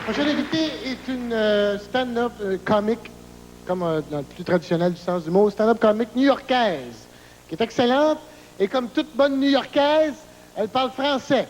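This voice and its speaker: A man introduces the next comic from a theater stage, stumbling through fillers. His voice is unusually high. A long hall echo and faint room noise surround him. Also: normal speed. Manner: hesitant, with fillers. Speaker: gala host.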